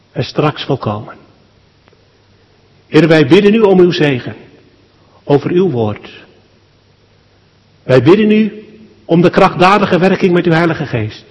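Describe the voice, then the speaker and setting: A man, a pastor, leads a solemn public prayer at a church service in short, measured phrases with deliberate pauses. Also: fairly high pitch, dull audio. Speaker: pastor leading a funeral service. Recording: long church hall reverb.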